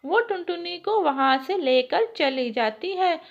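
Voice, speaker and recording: A woman's voice: very high voice, animated, measured pace, creaky, highs cut off. A woman narrating a scripted children's story as the storyteller.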